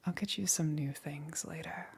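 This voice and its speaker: A woman voicing a menacing, teasing demon queen in an ASMR roleplay, with a breathy, whispery voice and a coaxing, softly amused, commanding manner. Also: deep voice, steady tone, moderate pace, heavily acted.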